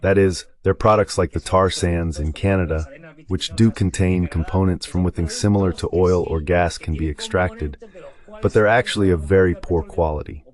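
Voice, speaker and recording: A man's voice: deep voice, fairly even intonation, moderate pace, darkish tone. A man speaking as the translated voice-over of a guest analyst, delivering political commentary.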